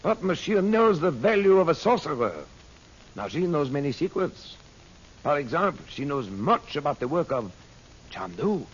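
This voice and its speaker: An actor playing a fawning, boastful, cruel sorcerer with a French accent, sly and theatrical, in old-time radio melodrama. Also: male voice, a little high, sing-song, moderate pace, husky. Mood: sly, insistent. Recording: dull, band-limited old radio recording.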